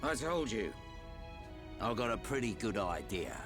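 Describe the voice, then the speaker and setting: A roguish middle-aged British soldier in a video game. He is gruff, cocky and nonchalant, his pitch moving freely, with music prominent behind him. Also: big pitch swings, measured pace. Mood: brisk, dismissive. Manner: colloquial, teasing, nonchalant. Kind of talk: video game cutscene acting.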